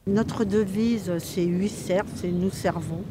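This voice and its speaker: A woman's voice: medium pitch, fairly even intonation, takes it slowly. A woman from a service club explaining its work in an interview outdoors. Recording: noisy outdoor ambience with birds.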